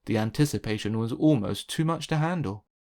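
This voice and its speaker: A man reads a children's storybook aloud in a medium-pitched voice. His delivery is expressive narration, made for young listeners. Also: a little fast, creaky. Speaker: children's book reader.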